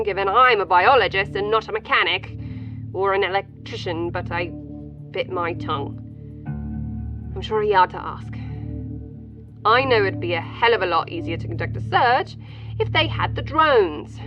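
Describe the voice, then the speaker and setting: A woman performs an overburdened, frustrated scientist in a science fiction audio drama. Her mid-pitched voice is dry and clipped. Music is clear behind her, and the recording is a little muffled. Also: natural voice. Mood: dry, restrained. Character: frustrated, overburdened female colony scientist, dryly resentful. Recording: dull sound, music underneath.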